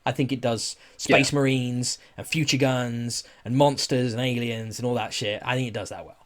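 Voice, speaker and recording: A man's voice: mid-range voice, steady tone, moderate pace. A man co-hosting a podcast, chatting casually about films and games in an enthusiastic, emphatic, sweary way. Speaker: podcast co-host. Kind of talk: casual film and game chat.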